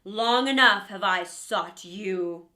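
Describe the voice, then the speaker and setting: A woman's voice: mid-range voice, takes it slowly, pushed and bright, pitched below the performer's own voice. A woman voicing a stern male huntsman in a children's fairy tale.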